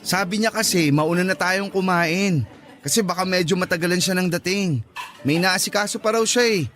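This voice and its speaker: A man's voice: high voice, normal speed, a bit bright, soft background music. A man playing a cheeky, devoted young son and boyfriend in a radio drama, casual and playful. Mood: easygoing.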